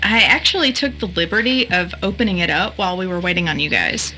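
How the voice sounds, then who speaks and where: An actress playing a skeptical game-hardware expert in an audio drama, dry and matter-of-fact. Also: female voice, on the low side, fast, bright, forceful tone, a little hiss or hum, low-quality recording. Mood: easygoing, dry. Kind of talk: audio drama, naturalistic dialogue.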